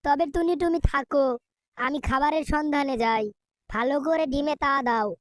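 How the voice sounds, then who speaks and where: Woman voicing a high-pitched bossy father bird. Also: moderate pace, close to an everyday voice. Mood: instructing. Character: bossy male father bird obsessed with having sons.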